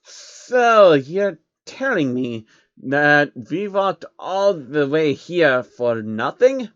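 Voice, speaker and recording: A man's voice: a little high, very animated, extremely slow, fairly bright tone, dry recording, dull audio, heavily acted. A man voicing a cheeky, nervous changeling creature from another world in a whiny, questioning way for a story reading. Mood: disappointed.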